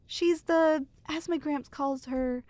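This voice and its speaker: A woman's voice: sits high, steady tone, slow pace, a little mellow, no echo. A young woman playing an affectionate, chatty girlfriend who reassures her partner in an intimate ASMR roleplay. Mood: fond, amused. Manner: playful, reassuring, storytelling to one listener. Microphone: close studio mic.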